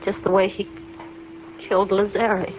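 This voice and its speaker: An actress playing a frightened, gentle young woman, earnest and pleading in 1940s radio acting style. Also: mid-range voice, level tone, a little slow, a little hiss or hum, lightly acted. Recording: muffled 1940s radio recording.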